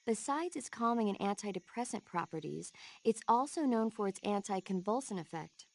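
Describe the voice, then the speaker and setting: An actress playing a friendly, helpful field medic in a video game, giving information in an approachable way. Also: female voice, mid-range voice, expressive, normal speed, creaky, dry recording. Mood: informative.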